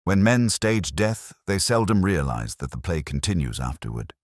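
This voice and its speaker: A man acts out a shrewd Victorian detective from a mystery audiobook. He is cool and commanding, with a very deep, dryly recorded voice and cryptic, deliberate phrasing. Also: big pitch swings, dry recording, studio quality.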